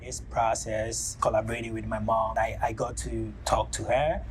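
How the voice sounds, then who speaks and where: A man with a Ghanaian accent, an artist talking through his work in a thoughtful, halting way, often pausing on "you know.". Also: on the low side, level tone, slight background noise. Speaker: ceramic artist. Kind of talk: reflective studio talk.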